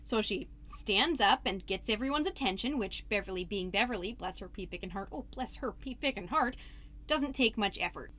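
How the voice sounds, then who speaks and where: A woman's voice: mid-range voice, expressive, fairly bright tone, slight background noise, lightly acted. A woman playing a folksy, rambling suburban PTA mom giving an over-polite, theatrical comedy monologue as a podcast host. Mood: chatty, polite. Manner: chatty, over-polite, self-interrupting, theatrically drawn-out phrases.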